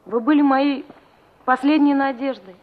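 Actress as earnest young woman, slightly high voice, wistful, radio play, muffled vintage recording. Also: steady tone, normal speed, close to an everyday voice. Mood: disappointed, pleading. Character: earnest, dreamy, stubborn young country woman. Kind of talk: Soviet radio play acting.

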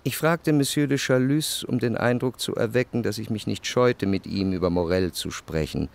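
An actor playing a reflective, well-off man of letters, narrating a literary radio play in a measured, analytical way. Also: lowish voice, creaky. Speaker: first-person narrator.

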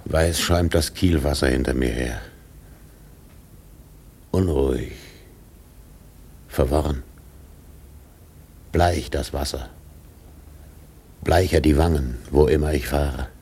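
A domineering old whaling captain for a radio drama. The voice is low, husky and full of gravel. He declaims with brooding intensity and dramatic pauses, like one in the grip of an obsession. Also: mellow, full character voice. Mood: bitter brooding. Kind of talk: German radio drama acting.

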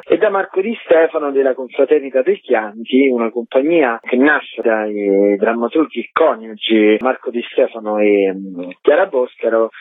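A man giving an explanatory radio interview as a guest festival director, on a remote call. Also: medium pitch. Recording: muffled echoey phone line, rough sound.

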